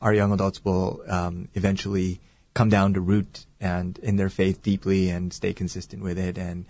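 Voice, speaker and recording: Earnest, reflective priest, low man's voice. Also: little pitch movement, moderate pace, a little mellow, no room sound. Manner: earnest and reflective, searching for words. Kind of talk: casual interview talk.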